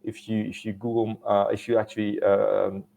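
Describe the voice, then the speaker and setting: Halting Swiss French man with gravelly voice. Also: on the low side, level tone, dark tone, slight background noise. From Switzerland. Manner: halting, many fillers and restarts. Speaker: conference speaker. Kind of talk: technical conference talk.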